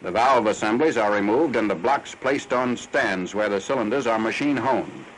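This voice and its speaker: An American man reading scripted 1940s military newsreel narration in a formal, factual, measured announcer style. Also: on the low side, fairly bright tone. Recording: noisy 1940s newsreel film soundtrack.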